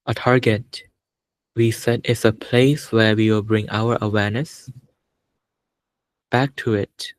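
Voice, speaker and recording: A man with a fairly low voice guides a meditation in a very even, level tone. He speaks calmly in short phrases and leaves long pauses between them, like a teacher giving gentle step-by-step instruction. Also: no room sound.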